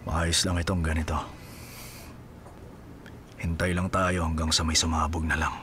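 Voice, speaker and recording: An actor with a Filipino accent playing a smooth, evasive policeman husband in a casual, teasing radio drama style. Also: a bass voice, little pitch movement, a little slow, pushed and bright, a little hiss or hum, full character voice. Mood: calm wry. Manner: casual, teasing, feigns innocence.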